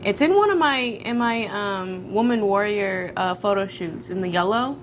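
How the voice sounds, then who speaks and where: Chatty, animated woman co-host speaking casually over background noise. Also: medium pitch, normal speed. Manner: animated storyteller, calls listeners girl and ladies. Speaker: podcast co-host. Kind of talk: casual chat.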